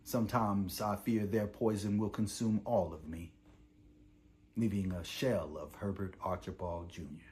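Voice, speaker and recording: A male actor playing a weary, defiant imprisoned revolutionary in a theatrical monologue, reading a letter to his parents with deliberate, oratorical delivery. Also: lowish voice. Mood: somber. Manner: deliberate oratorical delivery swinging from hushed to impassioned. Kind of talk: dramatic theatrical monologue, letter read aloud.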